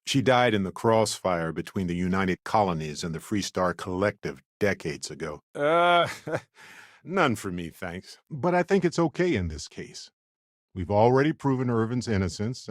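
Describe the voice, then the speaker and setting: Wry video game space explorer; lowish register, lively expressive inflection, conversational, big-hearted. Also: male voice, normal speed, no echo, studio quality. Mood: reflective, then wry, casual.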